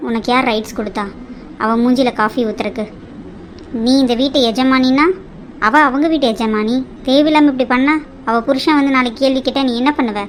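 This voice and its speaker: A firm older matriarch from a family melodrama acted by a woman, high in pitch. She admonishes and advises with protective authority, over faint background noise. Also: fairly quick, lightly acted. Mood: scolding.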